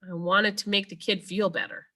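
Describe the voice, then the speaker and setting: A woman's voice: mid-range voice, fairly even intonation, moderate pace, bright, forceful tone, natural voice. A woman voicing a loyal, insistent male stray dog with a tender streak, in a children's storybook. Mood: earnest.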